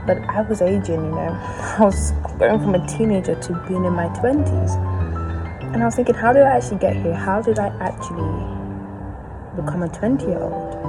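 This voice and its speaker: A young woman in her early twenties speaks in a reflective, personal vlog style. Her voice sits a little low for a woman. Music plays prominently behind her throughout. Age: early 20s. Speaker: vlogger. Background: prominent background music.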